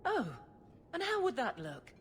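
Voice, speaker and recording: An actress playing a proud guard captain who is blunt, flustered and halting, awkward about romance, in a video game cutscene. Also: female voice, very high voice, huge pitch swings, slight background noise, heavily acted.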